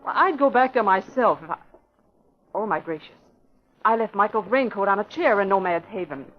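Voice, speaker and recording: An actress playing an earnest young newspaper reporter in 1940s radio acting style, hesitant and eager. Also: female voice, medium pitch, lively intonation, normal speed, close to an everyday voice. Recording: dull old radio broadcast with faint hiss.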